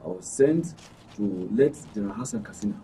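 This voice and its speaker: A man in his fifties with a Northern Nigerian accent, telling a personal story haltingly and repeating phrases. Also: fairly low pitch, lively intonation, gravelly, dark tone. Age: 50s. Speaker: panel guest. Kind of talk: personal storytelling in a studio discussion. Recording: distant mic with faint noise.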